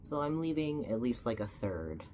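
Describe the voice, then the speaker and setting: A woman's voice: very deep voice, very animated, moderate pace, warm tone, a little hiss or hum. A young woman, slightly stuffy from a cold, chatting casually as she explains each step of a makeup tutorial.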